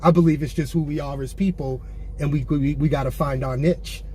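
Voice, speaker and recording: A man hosting a candid interview in a car, conversational and quick to agree. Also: fairly high pitch, steady tone, a little mellow, a little hiss or hum. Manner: affirming, conversational. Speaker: interview host. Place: inside a car.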